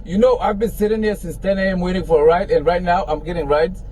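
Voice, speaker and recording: Man, high and dark-toned, confronting someone from a parked car, phone mic, faint hiss. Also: steady tone, fast, rough recording. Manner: argumentative, incredulous. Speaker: rideshare driver filming an encounter. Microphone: phone camera.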